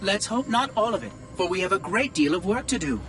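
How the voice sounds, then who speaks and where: Sardonic machine caretaker, high and bouncy, fussy and wry, game cutscene with music under. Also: male voice, lively intonation, a little fast. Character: chatty, sardonic male AI monitor. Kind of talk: video game cutscene acting.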